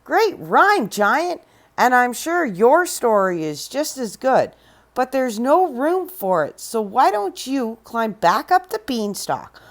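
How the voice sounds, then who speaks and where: A woman's voice: a little high, very animated, slow pace, pitched above the performer's own voice, exaggerated voice. A woman doing a brash, sarcastic boy Jack. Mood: cocky. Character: cocky, wisecracking boy Jack. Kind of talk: storybook read-aloud.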